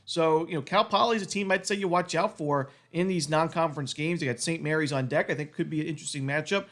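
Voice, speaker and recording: A man with a cold, his voice going a little, giving a quick solo rundown of sports betting picks packed with stats. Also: fairly high pitch, fairly bright tone.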